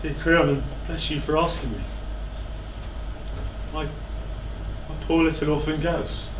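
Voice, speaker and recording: A man on stage playing an anguished, self-loathing fallen king in a classical tragedy, declaiming in halting, pleading phrases. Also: on the high side, steady tone. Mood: tender pleading. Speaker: stage actor. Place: indoor theatre stage. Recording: echoey theatre, distant microphone, faint noise.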